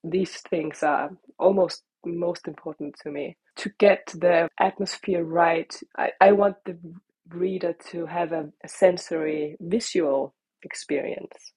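Danish lady author, deep-ish breathy voice, dry sound, thinks out loud n restarts. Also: steady tone, a little slow, dry recording, low-quality recording. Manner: thoughtful, searching for words, restarts. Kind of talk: interview answers.

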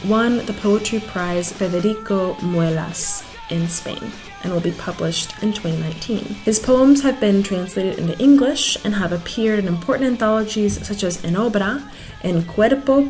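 A woman host reading a scripted introduction in a measured way. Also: medium pitch, a little mellow, rough recording. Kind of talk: scripted narration. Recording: clear music bed underneath.

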